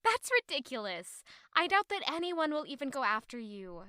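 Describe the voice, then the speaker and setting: Casting: sweet, gently bold young lady of rank played by a woman, high and bright, earnest, romantic audio drama. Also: very animated, normal speed, no room sound, clean studio sound, heavily acted.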